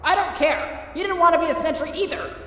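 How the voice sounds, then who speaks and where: A woman's voice: extremely high pitch, a little fast, pushed and bright. A woman voicing an earnest, boastful, caring young male skeleton in a dramatic comic dub, grandiose and insistent in manner. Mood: determined. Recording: echoey hall, distant, faint music.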